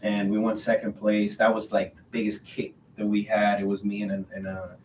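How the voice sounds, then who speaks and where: Low-voiced man, echoey and distant. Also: monotone, moderate pace, darkish tone. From Dominican Republic. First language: Spanish. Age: 30s. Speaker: podcast guest, realtor. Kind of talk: casual interview conversation. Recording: roomy echo, distant mic, faint rumbling noise.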